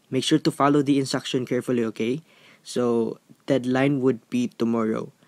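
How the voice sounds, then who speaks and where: A man's voice: medium pitch, darkish tone, no echo, close to an everyday voice. A man with a Filipino accent playing an earnest, courteous teacher who formally instructs an online class in an amateur radio play. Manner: formal, instructive, checking with "okay?".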